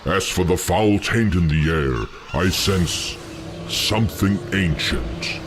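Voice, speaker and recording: A man playing a solemn, wise orc seer in a fantasy game, talking in a measured, ominous way. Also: deep voice, animated, background noise. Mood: solemn, foreboding.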